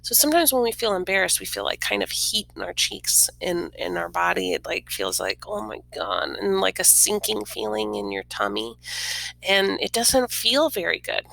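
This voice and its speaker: A woman reading a children's picture book aloud expressively, pausing to explain things simply and informally to young listeners. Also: on the low side, wildly swooping pitch, gravelly, fairly bright tone, rough recording. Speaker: read-aloud storyteller.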